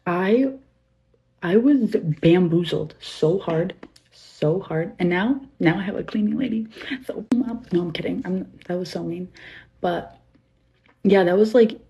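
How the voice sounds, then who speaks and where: A woman's voice: on the low side, a little slow, gravelly, warm tone, muffled recording. A young woman casually telling a comic anecdote, exaggerating dramatically for effect.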